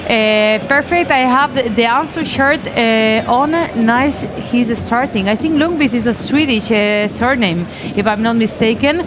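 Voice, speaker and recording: A woman's voice: a little high, a little slow, few pauses, background noise. A woman co-hosting a live stream, reading viewer comments aloud, giggly and hesitant over names.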